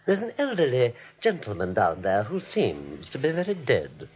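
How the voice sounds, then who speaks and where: An actor playing a proud, menacing middle-aged killer in a theatrical 1940s radio style. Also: male voice, medium pitch, huge pitch swings, moderate pace, a little mellow. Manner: theatrical, threatening. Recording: muffled old radio recording.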